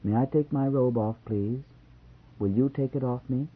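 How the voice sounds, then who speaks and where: A man voicing a distraught, stubborn young wife who pleads and turns shrill when upset. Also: moderate pace, husky, natural voice. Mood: timid. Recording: tinny phone-like lo-fi sound.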